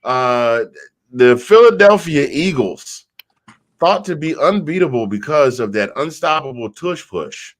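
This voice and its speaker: A man's voice: medium pitch, expressive, unhurried. A man hosting a casual football panel show, joking and self-deprecating, with a slight stutter. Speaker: show host. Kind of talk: casual sports panel chat.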